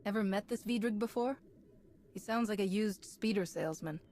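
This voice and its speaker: A woman playing a composed, resolute Jedi knight in a video game, measured and matter-of-fact. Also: medium pitch, darkish tone, a little hiss or hum, lightly acted. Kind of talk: video game cutscene acting.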